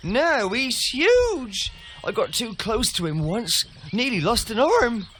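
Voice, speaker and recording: A man's voice: very high voice, very animated, slow, fairly bright tone, slight background noise, full character voice. A man playing a wary, grumbling village peasant in a comic video game. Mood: aggrieved.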